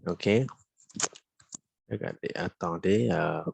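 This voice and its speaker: Low-pitched male teacher, dry sound, halting and unhurried delivery. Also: vocal fry, breathy edge, no echo, poor audio. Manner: hesitant, full of euh. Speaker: French teacher. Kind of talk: classroom instruction. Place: classroom.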